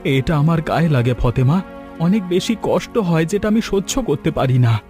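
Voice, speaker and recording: Male actor as proud, downhearted farmer father for cartoon drama: earnest, plaintive, fairly high voice over music. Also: animated, moderate pace, darkish tone, close to an everyday voice. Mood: weary, hurt. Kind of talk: cartoon folk tale dialogue.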